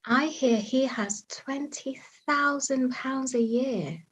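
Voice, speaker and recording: An actress playing an observant, gossipy young gentlewoman with a confiding manner in a period staged reading. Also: sits high, slow, slightly rough, full character voice.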